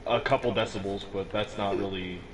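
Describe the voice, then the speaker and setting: A man's voice: on the low side, steady tone, a little fast, crowd noise. A teenage boy explaining a game build in casual chat, rambling with many restarts and little chuckles. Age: teens. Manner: rambling, restarts often, chuckles at own remarks.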